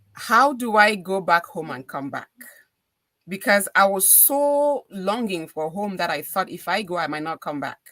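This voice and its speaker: A woman's voice: medium pitch, pushed and bright, no room sound. A middle-aged woman with a Cameroonian accent, a radio DJ talking earnestly as a podcast guest and repeating phrases for emphasis. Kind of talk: casual interview conversation.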